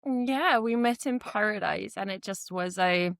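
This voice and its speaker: A woman's voice: medium pitch, measured pace, vocal fry, a bit bright, dry recording, studio quality. A woman in her thirties from Austria, a podcast guest telling her personal story in a chatty way, often laughing and restarting her sentences.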